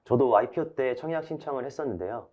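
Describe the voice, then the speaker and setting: A man's voice: mid-range voice, animated, fast talker, highs cut off. A man hosting a webinar in a polite, formal, scripted way, summing up and posing questions. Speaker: webinar host, moderator. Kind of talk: scripted moderated webinar talk.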